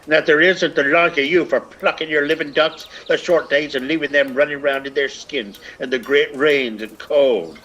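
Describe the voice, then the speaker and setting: Male actor as grumbling ragged peasant, older, lazy and needling; piercing bright tone, radio play with faint outdoor noise. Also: on the high side, fairly even intonation, normal speed, hardly any pauses, close to an everyday voice. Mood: sly grumbling. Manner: whining, lilting, grumbling. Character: ragged, lazy, complaining older Irish peasant man, sharp-tongued. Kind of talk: theatrical radio play acting.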